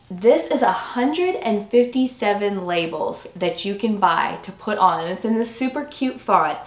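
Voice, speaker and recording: A woman in her forties talks casually to her viewers about home decor products. Her voice is medium in pitch and a little hoarse, with a chatty, enthusiastic delivery. The sound is roomy, as if recorded indoors a few feet from the microphone. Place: small furnished rooms at home, indoors. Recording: roomy echo.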